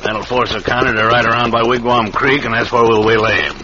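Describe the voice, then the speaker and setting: An actor playing a scheming, bossy crooked railroad marshal and gang boss in an old-time radio western, talking in folksy outlaw style. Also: male voice, mid-range voice, brisk, a loud crowd, natural voice. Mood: scheming, commanding. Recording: very noisy, muffled old radio recording.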